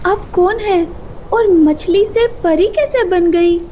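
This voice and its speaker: Woman as an anxious, high-voiced little cartoon bird. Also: takes it slowly, dark tone, slight background noise. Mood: startled, curious. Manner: pleading, earnest. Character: anxious kind female little bird samosa seller.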